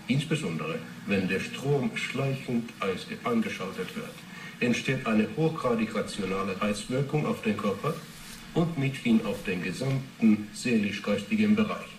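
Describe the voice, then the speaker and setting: A man acts an earnest Finnish neurology doctor in his thirties, defensive under questioning and prone to lecturing. His voice is low and articulate. The radio drama recording is roomy, with clear noise behind him. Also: recorded from a distance, close to an everyday voice. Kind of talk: 1980s German radio drama acting. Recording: roomy, noisy interrogation recording.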